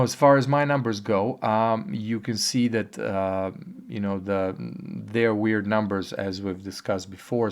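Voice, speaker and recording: A hoarse man giving a casual, rambling video update, with many pauses and fillers. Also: fairly low pitch. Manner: halting, rambling, filler-heavy. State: hoarse from a cold. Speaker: online earnings vlogger. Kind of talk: casual talking-head update.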